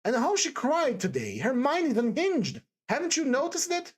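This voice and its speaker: A woman voicing a timid, distressed young woman in an audiobook, pleading fervently with halting repetitions. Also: sits high, sing-song, slightly rough, pitched above the performer's own voice, heavily acted. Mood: agitated, protesting. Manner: halting, stammering, breathless repetitions and emphatic exclamations. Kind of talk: dramatised audiobook character dialogue.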